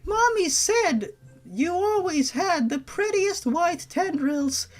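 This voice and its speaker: Small girl, played by an adult narrator: very high, childlike, innocent and pleading, for a story reading over music. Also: animated, slow pace, heavily acted. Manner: childlike, squealing, loud.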